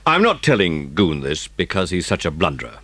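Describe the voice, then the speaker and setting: A man playing a genial, confiding British police chief with dry amusement, measured and authoritative, in an audiobook drama. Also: deep voice, wildly swooping pitch, a bit bright, no echo, full character voice.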